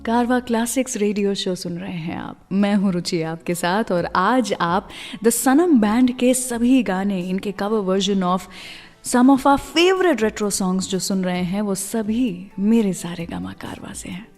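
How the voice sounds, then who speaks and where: A woman's voice: mid-range voice, slow pace, a little mellow, in a large hall. An Indian woman presenting a music radio show in a conversational, chatty storytelling way, slipping between Hindi and English phrases.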